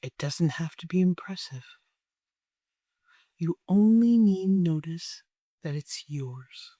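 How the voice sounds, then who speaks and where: Slow, soothing meditation guide, mellow voice. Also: medium pitch, lively intonation, no room sound. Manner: gentle, soothing, unhurried with long pauses.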